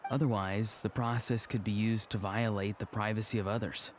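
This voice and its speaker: An actor playing a soft-spoken, thoughtful young male shaman in an anime game. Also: fairly low pitch, level tone, moderate pace, slight background noise, natural voice. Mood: thoughtful, explaining.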